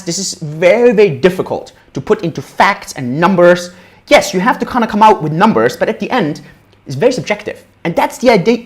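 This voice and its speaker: A man in his thirties from Austria, giving a casual livestream lecture on investing at a whiteboard, often tagging sentences with "right?". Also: sits high. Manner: animated, casual, frequent "right?" tag questions. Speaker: crypto educator.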